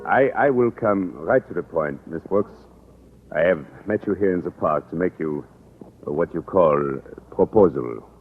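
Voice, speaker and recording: A courtly, deep-voiced Frenchman. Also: animated, normal speed. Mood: earnest. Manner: broken English, courtly and earnest. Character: amorous middle-aged Frenchman in America. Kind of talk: 1950s radio sitcom acting. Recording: tinny hissy old radio broadcast.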